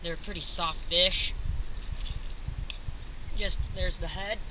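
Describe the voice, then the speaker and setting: A man's voice: sits high, fairly even intonation, takes it slowly. A young man on a rocky riverbank, explaining step by step in a casual way, recorded on an action camera. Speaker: angler showing how to clean fish. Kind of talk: casual how-to narration. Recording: outdoor camera audio with noise.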